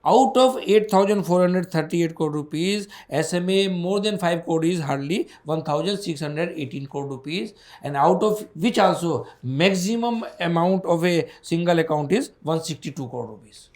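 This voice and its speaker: Higher-pitched Indian man, emphatic and repetitive. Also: expressive, normal speed, hardly any pauses, darkish tone, roomy sound. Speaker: bank executive. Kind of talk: answering analysts on an earnings call.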